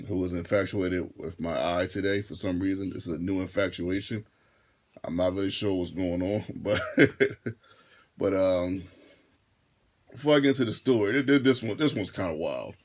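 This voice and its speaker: A deep-voiced man in his thirties telling a casual storytime to his viewers, rambling and restarting his phrases as he goes. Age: 30s. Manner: laid-back, rambling, repeats and restarts phrases. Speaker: vlogger and rideshare driver.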